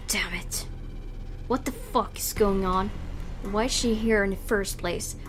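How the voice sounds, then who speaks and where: A woman's voice: medium pitch, unhurried, fairly bright tone. A woman hosting a gaming video, reading a story dramatically aloud with casual commentary. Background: music behind the voice.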